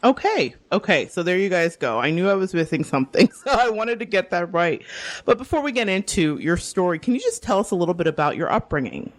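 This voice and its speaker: A woman's voice: lowish voice, sing-song, a little fast. A woman from the Bronx hosting a radio talk show, introducing a guest and interviewing her, laughing often. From the Bronx, New York.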